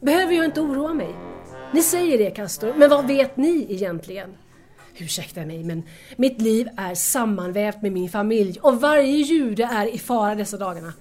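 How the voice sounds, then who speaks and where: An actress plays an anxious young woman in a wartime radio play. Her voice is on the high side. She speaks with earnest, easily stirred emotion. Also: animated, a little mellow, faint music behind, natural voice. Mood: agitated, afraid. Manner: earnest, agitated. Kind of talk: audio drama acting.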